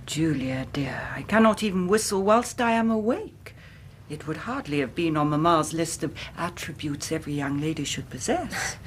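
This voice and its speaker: An actress playing a grieving young English gentlewoman in a radio drama, speaking formally and precisely as a dramatic storyteller. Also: low voice, lively intonation, moderate pace, slight background noise. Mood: gentle, reassuring. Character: grieving young gentlewoman recounting her twin sister's death.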